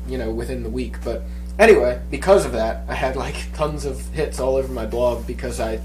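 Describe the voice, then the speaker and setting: A man's voice: mid-range voice, a little fast, darkish tone, low-quality recording. A man with a head cold talks in a stuffy, congested voice, chatting loosely on a podcast. He wanders through his points with plenty of ums and restarts. Faint music plays underneath. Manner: rambling, many fillers and restarts. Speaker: podcast co-host. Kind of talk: casual chat.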